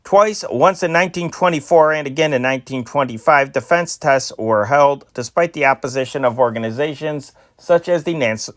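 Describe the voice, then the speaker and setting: A man's voice: medium pitch, dry recording, studio quality. A man reading old newspaper stories aloud in an amateur style, sometimes stumbling and restarting words. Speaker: news reader.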